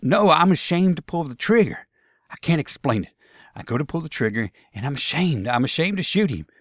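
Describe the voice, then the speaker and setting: Casting: man playing troubled young Confederate soldier, pleading and halting, lifted pitch, dry sound. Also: big pitch swings, slightly rough, no room sound. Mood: ashamed, pleading. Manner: hesitant, pleading, stammering. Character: anguished, ashamed young Confederate sharpshooter from Alabama.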